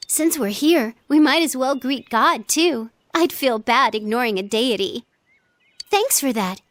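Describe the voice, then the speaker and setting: Anime game role: gentle, reserved teen girl, polite and earnest, very high voice, dry close recording. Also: lively intonation, a little slow, no echo. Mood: shy, hesitant. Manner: polite, thoughtful. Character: earnest, reserved teenage girl, gentle, considerate, quietly stubborn. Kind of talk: anime video game voice acting.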